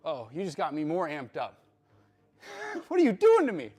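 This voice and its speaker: A man's voice: on the high side, wildly swooping pitch, normal speed, dark tone. A man hosting a live workout stream, chatting with viewers between lifts in a joking, hyped-up coaching way. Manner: hyped, joking, bro-style coaching talk.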